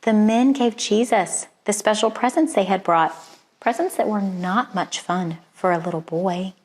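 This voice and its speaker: A woman's voice: medium pitch, a little slow, darkish tone. Woman reading a children's storybook expressively. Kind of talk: expressive read-aloud children's storybook narration.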